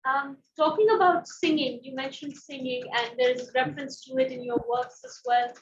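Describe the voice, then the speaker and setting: A woman interviewer speaks conversationally and haltingly, searching for her words as she puts questions. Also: high voice, very animated, vocal fry. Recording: dull, echoey, distant, noisy pickup.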